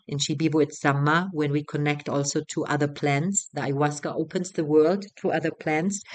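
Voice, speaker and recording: A woman in her thirties with a German accent, a retreat facilitator talking casually, halting and repeating words as she goes. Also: sits low, fairly bright tone. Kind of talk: casual spoken promotional talk.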